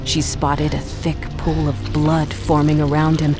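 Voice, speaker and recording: A woman's voice: low-pitched, monotone, a little slow. A woman delivering scripted dramatic narration for an adventure audio drama. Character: female storyteller narrating a sea adventure. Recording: loud music under the voice.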